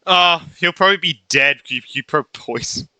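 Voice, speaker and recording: A man's voice: a little high, normal speed, pushed and bright, faint music behind, low-quality recording. A man giving casual gameplay commentary, informal and slangy, thinking aloud as he reacts. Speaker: gaming YouTuber.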